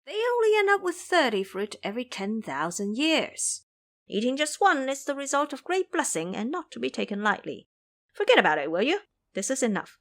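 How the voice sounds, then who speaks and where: Male monkey disciple, scolding, read by a woman, animated delivery. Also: high voice, normal speed, pitched above the performer's own voice, heavily acted. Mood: chiding. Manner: scolding, lecturing. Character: teasing elder monkey-king disciple, male.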